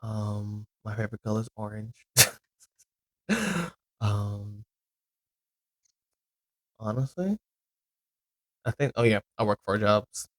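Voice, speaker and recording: Man in his twenties, low-pitched, casual rambling podcast host. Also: animated, slow pace, pauses often, fairly bright tone, rough recording. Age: 20s. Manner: rambling, loose, with many ums and self-corrections.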